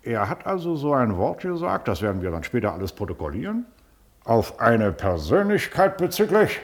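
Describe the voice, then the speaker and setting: A self-important Prussian baron who runs his office like a little throne. His voice sits at a middle pitch and swings widely in melody. He is officious and commanding, with hems and haws, in classic German radio play style. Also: big pitch swings, normal speed. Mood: smug, prompting.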